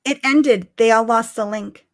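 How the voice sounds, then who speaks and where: A high-pitched woman co-hosts a relaxed live-stream podcast. Her talk is casual and bubbly, with a giggly way about it. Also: unhurried, crowd noise. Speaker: podcast co-host.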